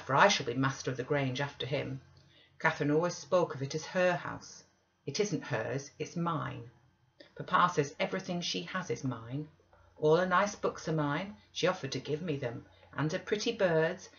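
A woman's voice: low-pitched, normal speed, fairly bright tone. A sickly, spoilt teenage boy played by a woman reading aloud whines petulantly in a storybook reading. The sound is a little dull. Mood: gloating, petulant. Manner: petulant, whining. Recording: slightly dull webcam audio.

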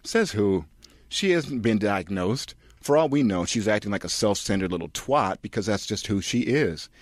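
A man's voice: on the low side, animated, normal speed, dry recording. Man playing a blunt, fed-up husband, dry sound. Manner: blunt, sarcastic. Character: gruff, fed-up tough-guy husband.

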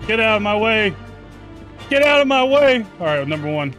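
A man with a slightly high voice talks through a video game as he plays. He is informal and slangy, swearing mildly, with game music faintly behind him. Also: big pitch swings, moderate pace. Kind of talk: casual gameplay commentary. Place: close mic indoors, game music behind.

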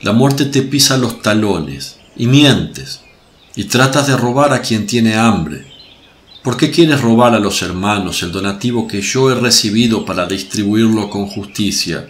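An actor playing a compassionate, authoritative holy teacher in a radio play, solemn and measured. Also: male voice, on the low side, lively intonation. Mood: stern rebuking. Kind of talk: Spanish-language radio play acting.

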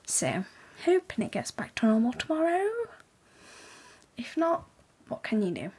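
A teenage girl from England, raspy and hoarse, chatting quietly in a late-night webcam vlog in her room, half-whispering so as not to wake the house. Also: fairly high pitch, wildly swooping pitch, a little slow. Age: teens. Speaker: vlogger. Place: bedroom at night, indoors. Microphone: laptop webcam.

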